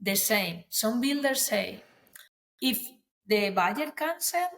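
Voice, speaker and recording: A woman's voice: on the high side, animated, slow, a bit bright. Woman lawyer explaining. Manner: earnest, explanatory, non-native phrasing with restarts. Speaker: property lawyer, guest. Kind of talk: podcast interview, explaining.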